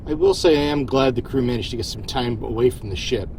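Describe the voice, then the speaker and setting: Starship captain, male actor: steady, modest, reflective; medium-pitched voice over a rumbling ship background. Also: fast, slight background noise, close to an everyday voice. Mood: warm, friendly. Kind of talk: science fiction audio drama acting.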